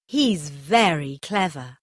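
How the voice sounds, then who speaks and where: A woman narrating a scripted children's story in simple, deliberate sentences for young listeners. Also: deep voice, animated, heavy background noise.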